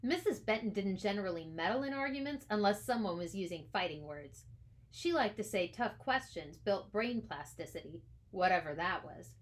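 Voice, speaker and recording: Female storybook narrator, medium pitch, expressive scripted read, author reading aloud. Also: moderate pace. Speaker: author reading their own book aloud.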